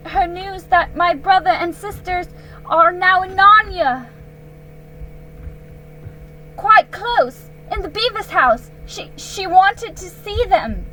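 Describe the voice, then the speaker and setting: A woman voicing a frightened young boy who pleads and stammers in a high voice. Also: pushed and bright, slight background noise, heavily acted, rough recording.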